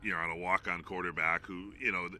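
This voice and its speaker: A man's voice: deep voice, level tone, quick pace, sharp tone, a little hiss or hum. A man who works as a play-by-play announcer, giving informal, discursive sports analysis as an interview guest.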